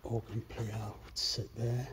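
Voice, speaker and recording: Slow-talking man, noisy recording. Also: mid-range voice, level tone. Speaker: urban exploration vlogger. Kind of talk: casual exploring commentary. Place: inside an empty church and hall. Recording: noisy handheld camera audio.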